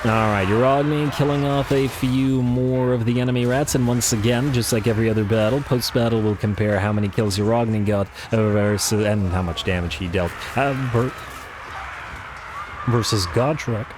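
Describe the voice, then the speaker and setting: A man casually commentating on a strategy game he is playing, chatty with lots of fillers, his voice a little raspy from coughing. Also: medium pitch, moderate pace, background noise. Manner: relaxed, chatty, frequent fillers and repeated words. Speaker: let's play commentator.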